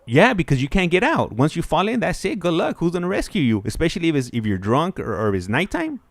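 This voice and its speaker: A man's voice: a little high, huge pitch swings, fast, no room sound. A man, chatting casually and animatedly, repeating phrases and dropping in Spanish slang. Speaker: host. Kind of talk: casual chat, storytelling with slang.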